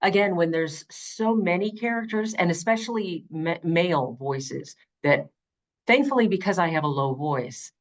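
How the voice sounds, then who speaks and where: A woman's voice: on the low side, animated, normal speed. A middle-aged woman giving conversational, emphatic interview answers as an audiobook narrator.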